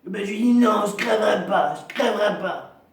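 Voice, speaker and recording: A man playing a lonely, proud woman in her fifties, a chain-smoking heavy drinker with a deep, gravelly voice who rambles slowly and repeats herself in a comic monologue. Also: echoey room, distant mic. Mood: rambling. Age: 50s. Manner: slow, rambling, repetitive, self-pitying.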